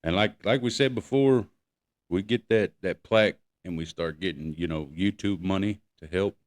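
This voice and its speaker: Guy w/ a deep voice, slangy laid back podcast chat. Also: moderate pace. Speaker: podcast co-host. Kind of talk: casual chat.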